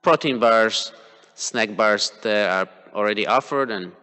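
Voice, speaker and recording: A man's voice: on the low side, unhurried, pushed and bright, clear, resonant tone. A man whose first language is Polish gives a devotional class, speaking hesitantly with many fillers and restarts. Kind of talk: devotional class talk, personal examples. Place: temple hall. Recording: long hall reverb.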